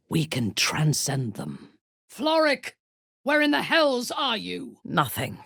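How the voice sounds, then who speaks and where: A woman playing a ruthless, imperious warrior commander of a dark cult in a fantasy video game, cold, commanding and disdainful. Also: extremely low pitch, breathy edge, no echo, clean studio sound, heavily acted. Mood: cold, hushed, then furious.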